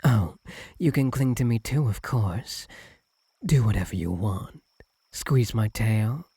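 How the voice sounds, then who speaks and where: A man voicing a doting, possessive fox-spirit husband in an intimate ASMR roleplay, soft and breathy, often slipping into whispers, tender and clingy. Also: lowish voice, animated, slow, no echo, full character voice. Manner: tender, clingy, hesitant, lingering on words.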